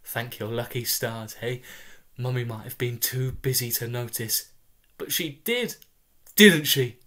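A man's voice: medium pitch, unhurried, pushed and bright. A man voicing a doting, middle-aged mother, cooing cheerfully and consolingly as part of a told story. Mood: cooing, cheery.

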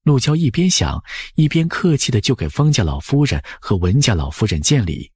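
A man narrating an audiobook as expressive scripted storytelling, with a husky voice. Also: medium pitch, moderate pace, dry recording, studio quality.

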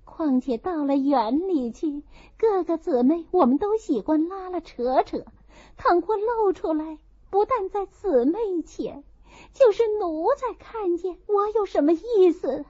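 Young storybook wife, played by a woman: very high, animated, anxious self-defence, slightly dull recording. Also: measured pace. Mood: pleading, anxious. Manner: earnest, tearful pleading. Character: young married noblewoman, anxious, pleading, defensive.

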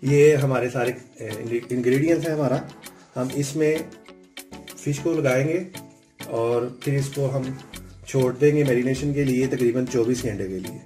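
A man with a Pakistani Urdu accent, hosting a home cooking tutorial and giving calm step-by-step instructions in a home kitchen. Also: medium pitch, unhurried. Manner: calm step-by-step instructions, often saying "theek hai". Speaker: cooking channel host. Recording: background music, oil sizzling. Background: music and frying sizzle.

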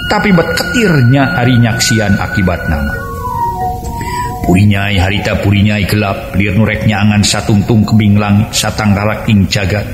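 A male storyteller with a Sundanese accent and a fairly low voice narrates a dramatic folk tale. His voice carries a strong echo, and music plays behind him. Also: huge pitch swings, normal speed. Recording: echoey voice over music.

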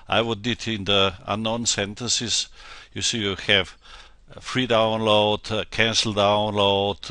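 A man with a Slovenian accent, a native Slovenian speaker, giving an unscripted software tutorial in a halting, hesitant way. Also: fairly low pitch, no room sound. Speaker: translator demonstrating software.

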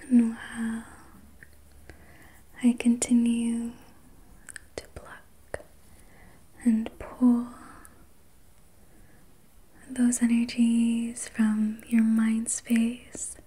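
A woman whispering softly and breathily as an ASMR host, guiding relaxation in a soothing, gentle, unhurried manner. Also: fairly high pitch, monotone, husky. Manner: soothing, slow, gentle personal attention. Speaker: ASMR host and reiki practitioner.

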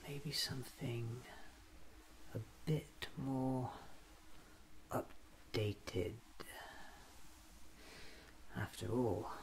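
A man in his thirties doing an ASMR roleplay monologue, often whispering and musing to himself in short halting phrases, recorded with ambient noise. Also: mid-range voice, creaky, a bit bright. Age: 30s.

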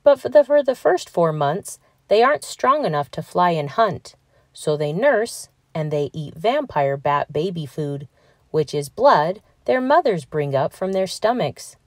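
A woman reading a children's picture book aloud in a deliberate, expressive storytelling manner for young listeners. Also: on the low side, no room sound, studio quality. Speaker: children's read-aloud narrator.

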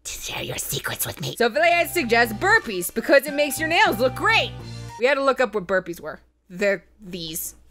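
A woman with a fairly high, very bright voice hosts a casual game recap. She is chatty and sweary, joking her way through the story. Music plays behind her. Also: sing-song, moderate pace. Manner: chatty, sweary, with playful mock voices for quotes. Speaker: let's play host.

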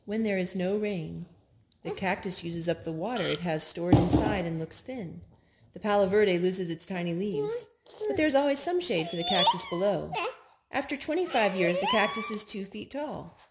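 A woman in her thirties reading picture books aloud to young children at home, in an animated, child-directed way with drawn-out words. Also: mid-range voice. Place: living room at home, indoors. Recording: home recording with background noise.

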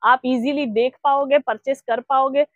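A woman in her thirties giving a persuasive sales pitch on a tour of a large clothing store, listing her stock one item after another. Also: steady tone, measured pace, dull audio, crowd noise. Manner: persuasive, listing wares steadily. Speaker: shop representative.

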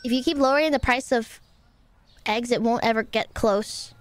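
A woman's voice: a little high, moderate pace. A young woman streaming a game, chatting casually with her viewers, playful and easily exasperated. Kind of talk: casual live-stream gameplay chat.

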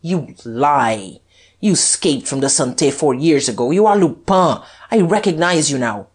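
A woman's voice: deep voice, a little slow, slightly rough, full character voice. A woman voicing a mocking, hot-tempered male police detective in a dramatized audiobook. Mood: furious. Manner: mocking, taunting, excitable.

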